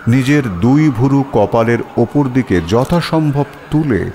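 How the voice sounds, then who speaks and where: Animated male detective-story narrator. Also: mid-range voice, unhurried, a little hiss or hum. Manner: expressive storytelling, descriptive.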